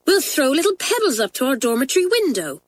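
A woman voicing Pat, an eager, quick-witted schoolgirl who talks in urgent whispers. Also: high-pitched, sing-song, pitched above the performer's own voice.

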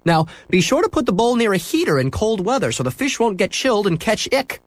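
Man putting on a high, chatty pet-shop owner. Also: sing-song, a little fast, no room sound. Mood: obliging. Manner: eager, explaining, lifted voice. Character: fussy, chatty middle-aged male pet-shop owner. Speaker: shopkeeper.

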